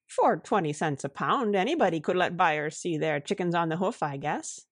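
A woman voicing a shrewd, good-natured, calm housewife who speaks wryly in foreign-tinged broken syntax. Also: on the low side, moderate pace, no echo, clean studio sound.

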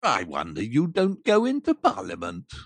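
A woman voicing a grumpy, stern, miserly old uncle with a gruff, scornful manner in a storybook reading. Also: a bass voice, huge pitch swings, a little slow, no room sound, voice pushed down, heavily acted. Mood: biting. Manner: gruff, indignant, biting. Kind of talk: audiobook character voice.

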